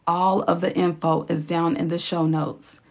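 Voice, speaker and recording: A woman hosting her own podcast, speaking in a slow, encouraging, reflective way, with a raspy voice and features. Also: lowish voice, flat delivery. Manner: slow, encouraging, repeats phrases for emphasis. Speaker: podcast host. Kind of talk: reflective solo podcast talk.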